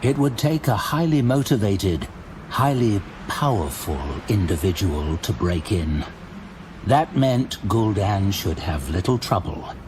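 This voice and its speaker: A man's voice: fairly low pitch, expressive, measured pace, background noise. A man giving a dramatic scripted narration of a fantasy audio drama. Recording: loud dramatic music under the voice. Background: music.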